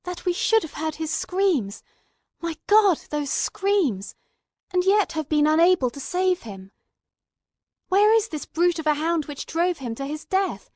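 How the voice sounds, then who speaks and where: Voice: very high-pitched, a little mellow, no echo, clean studio sound, full character voice. A performer playing an earnest, emotional male companion who narrates a radio mystery in a literary, fervent manner. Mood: anguished, angry. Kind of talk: dramatic scripted narration, old-time radio mystery.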